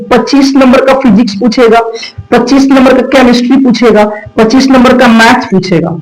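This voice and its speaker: A man with a Bihari accent explaining like a coaching teacher, asking his own questions and answering them, repeating key phrases. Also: very high voice. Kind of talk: instructional explanation to students. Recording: echoey room.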